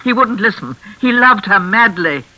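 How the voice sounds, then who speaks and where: Theatrical, menacing older mistress, piercingly bright. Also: female voice, mid-range voice, lively intonation, lo-fi sound. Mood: bitter, unhinged. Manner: theatrical, honeyed and insistent, with deliberate repetition. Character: menacing, unhinged older mistress of a crumbling hall. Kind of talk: classic radio suspense acting.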